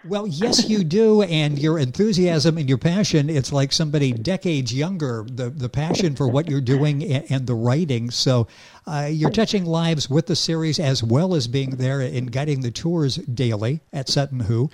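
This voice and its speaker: A man's voice: a little high, normal speed, darkish tone. A man hosting a radio program, interviewing a guest in a friendly, conversational way. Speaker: radio show host.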